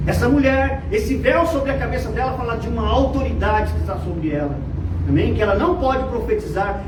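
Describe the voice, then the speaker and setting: A Brazilian man with a Brazilian Portuguese accent preaching a fervent sermon, dropping to low murmurs and rising to shouts. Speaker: pastor. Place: church. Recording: echoey, distant, noisy church hall.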